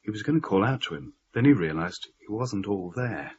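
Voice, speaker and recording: An actor playing an earnest, rational-minded man, telling of a haunting in measured radio-play narration. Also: fairly low pitch, big pitch swings. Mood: measured, intrigued. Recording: slightly muffled, faint background noise.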